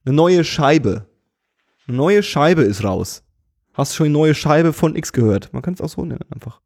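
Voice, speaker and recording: A man's voice: on the high side, expressive, moderate pace, darkish tone. Dry, ironic man on a podcast. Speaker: podcast co-host. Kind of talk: casual chat.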